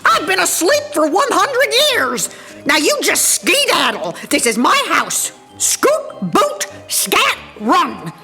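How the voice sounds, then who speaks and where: Shrieking, bossy old storybook witch, put on by a woman reader, high and swooping. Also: wildly swooping pitch, slow pace, bright, forceful tone, faint music behind, heavily acted. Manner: screeching, bossy, grumbling.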